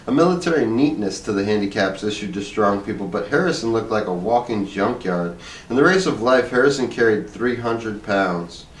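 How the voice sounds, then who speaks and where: A man reading a short story aloud as scripted narration. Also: mid-range voice. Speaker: story reader. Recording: echoey room, distant microphone.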